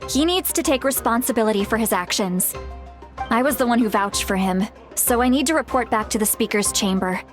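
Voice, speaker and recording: Woman acting an earnest young warrior, high voice. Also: close to an everyday voice. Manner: sincere, resolute. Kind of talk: English video game voice acting. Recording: soft game music behind the voice.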